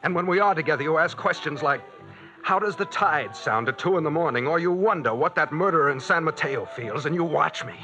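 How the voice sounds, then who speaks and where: An actor playing a morose, fearful husband haunted by guilt, brooding and pleading in old-time radio mystery style. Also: male voice, on the high side, expressive, a little fast, bright, forceful tone, faint music behind. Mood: tense, accusing. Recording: dull vintage radio recording.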